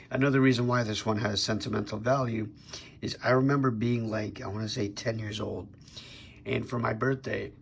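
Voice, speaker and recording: A young man with a sick, raspy voice casually reviewing a toy figure on his channel, talking in a laid-back way with lots of fillers and restarts. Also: fairly low pitch, fairly bright tone, slight background noise.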